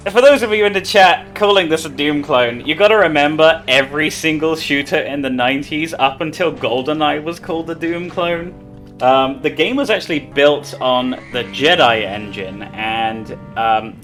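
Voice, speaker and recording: A man's voice: a little high, lively intonation, normal speed, a bit bright, faint music behind. A man chatting casually and explaining his play live as he speedruns a video game. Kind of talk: live explanation while playing.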